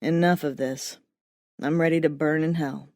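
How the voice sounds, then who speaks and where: A woman voicing a smug, scornful dead male banker in an audiobook, with a gruff sardonic manner. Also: deep voice, fairly even intonation, moderate pace, a little mellow, dry recording, studio quality, pitched below the performer's own voice. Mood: brisk, resolved. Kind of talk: audiobook character voice.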